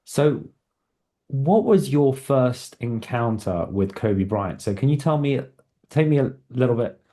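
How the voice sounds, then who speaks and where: A man hosting a podcast, asking his guest questions in a measured way and searching for his words. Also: mid-range voice, lively intonation, warm tone. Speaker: podcast host. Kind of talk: interview questions.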